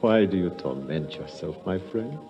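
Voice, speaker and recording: An actor playing a calm, formal older temple priest in a 1950s radio drama, speaking in a measured, courteous way. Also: male voice, low-pitched. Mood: reassuring, enigmatic. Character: elder priest of an Indian temple, calm, formal, enigmatic man. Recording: dull vintage 1950s radio recording, faint music.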